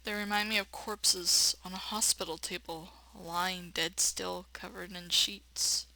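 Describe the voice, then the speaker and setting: Female let's player, bright tone, expressive narration with playful, blunt running commentary. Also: lowish voice, a little slow, gravelly, rough recording. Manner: expressive narration broken by blunt sassy asides. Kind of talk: reading a visual novel aloud with playful running commentary.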